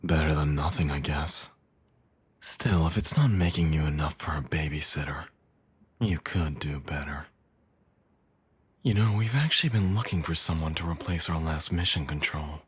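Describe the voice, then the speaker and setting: A man's voice: extremely low pitch, normal speed, husky, exaggerated voice. A man playing a cocky villain in an intimate audio roleplay, with a teasing, smug, flirtatious drawl. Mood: persuasive, coaxing.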